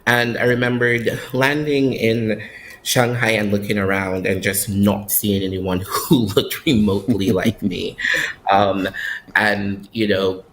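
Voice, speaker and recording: A Barbadian man living overseas chats in an interview, pausing often with fillers and restarting his sentences. His voice sits a little low. Faint ambient noise hums behind him. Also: little pitch movement, a bit bright, poor audio. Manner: hesitant, many fillers and restarts. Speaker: guest living abroad. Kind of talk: casual interview chat.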